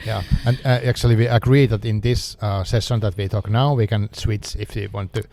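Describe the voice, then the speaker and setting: A man's voice: on the low side, fairly even intonation, a little fast, gravelly, darkish tone, slight background noise. Hesitant man. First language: not English. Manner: hesitant, searching for words. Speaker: podcast host. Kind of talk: casual conversational interview.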